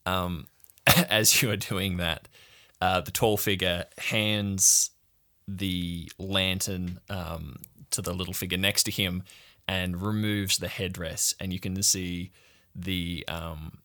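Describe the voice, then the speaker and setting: Low-voiced man narrating a tabletop game. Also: level tone, slow, bright, forceful tone. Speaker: game master.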